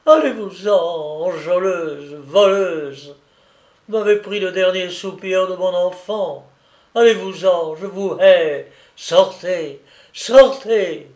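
A man reading aloud, putting on an older baroness who shouts in trembling, grief-mad rage. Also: sits high, slow, voice pushed up. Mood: furious. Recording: echoey room.

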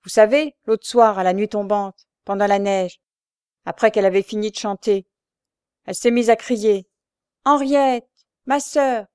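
A woman's voice: mid-range voice, steady tone, moderate pace, mellow, smooth, no room sound, studio quality, close to an everyday voice. A woman voicing an anxious, protective knife-grinder in a story, earnest and pleading, with simple working-class speech. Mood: indignant. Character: anxious, protective knife-grinder, meek younger brother growing bold.

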